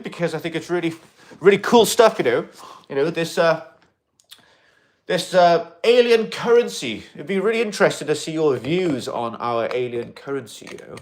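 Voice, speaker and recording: A man in an alien costume, chatting casually on a livestream and answering viewer comments in a rambling, excitable way. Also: fairly high pitch. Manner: rambling and excitable, with many fillers and restarts; loud bursts of excitement between quieter asides.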